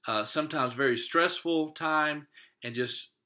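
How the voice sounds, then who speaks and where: A man's voice: on the high side, measured pace, bright, forceful tone. A man reading a picture book aloud expressively as a teacher, stopping to put questions to young listeners.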